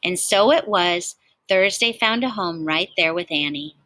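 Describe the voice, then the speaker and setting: A woman reading a children's storybook aloud in an expressive, animated way, like a teacher talking to young listeners. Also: lowish voice, unhurried, no room sound.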